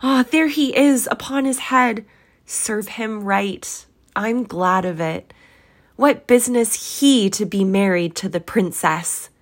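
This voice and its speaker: An old miser from a storybook, played by a woman, exclaims in childlike ecstasy. The voice leaps high and loud, excitable and close to tears. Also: slow pace. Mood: ecstatic. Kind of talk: storybook character voice.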